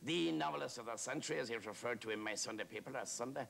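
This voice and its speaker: A man's voice: mid-range voice, very fast, gravelly, noisy background, pitched above the performer's own voice, natural voice. A man playing a naive, talkative working-class warder who rambles deferentially in a theatrical monologue. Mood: eager, chatty. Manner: rambling, hesitant, repetitive, with deferential address.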